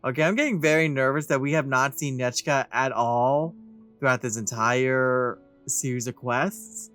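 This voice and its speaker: A man's voice: mid-range voice, moderate pace, a bit bright, soft background music. A man giving casual, informal, reactive commentary as he plays through a video game. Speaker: let's play streamer. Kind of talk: casual gameplay commentary, reading along.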